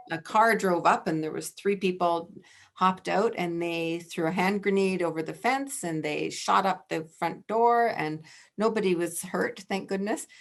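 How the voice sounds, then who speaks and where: A woman being interviewed as a network director, telling a story haltingly with many restarts and fillers. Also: lowish voice.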